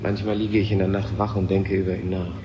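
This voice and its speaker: A man's voice: deep voice, flat delivery, fast, dull audio, over music, close to an everyday voice. An actor playing a brooding, intense male physicist in a German radio drama from 1970. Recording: echoey hall, noisy old radio recording.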